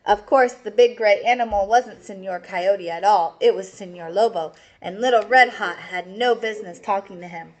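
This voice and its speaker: A woman's voice: medium pitch, moderate pace, hardly any pauses, poor audio. A woman storyteller reading a picture book aloud for children, with casual asides.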